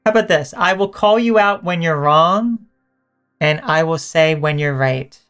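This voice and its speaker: A hoarse woman streamer chatting casually and teasingly with her viewers as she wraps up a live stream, often correcting herself mid-sentence. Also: low voice, animated, measured pace, a bit bright, soft background music. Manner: rambling, self-correcting, teasing chat toward viewers.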